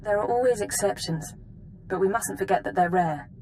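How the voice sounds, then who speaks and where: A woman's voice: mid-range voice, moderate pace, noisy background, lightly acted. A woman voicing a composed intelligence analyst in a video game, giving briefings in a precise, formal, businesslike way.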